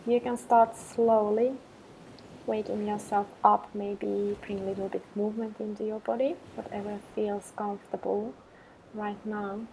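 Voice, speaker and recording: A woman leading a guided meditation with affirmations, speaking in a calm, gentle, measured way with long pauses. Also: mid-range voice, vocal fry, a little hiss or hum, poor audio.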